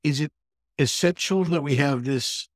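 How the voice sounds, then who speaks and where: A man hosting an interview podcast, putting questions deliberately in short, measured phrases. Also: a little high, no room sound, studio quality.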